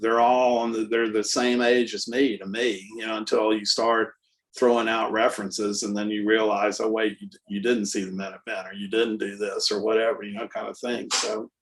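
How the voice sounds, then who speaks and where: A man in his sixties, a guest artist and musician, telling stories in a relaxed, rambling way with lots of fillers. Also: lowish voice, normal speed, poor audio. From Texas. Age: 60s. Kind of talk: casual conversational interview.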